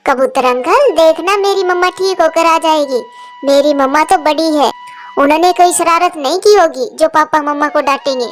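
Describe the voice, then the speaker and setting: A woman's voice: high-pitched, moderate pace, soft background music, close to an everyday voice. A woman voicing an innocent, trusting little girl bird in a cartoon story, talking in a simple, earnest, childlike way. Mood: innocent, comforting. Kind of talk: cartoon moral-story dialogue.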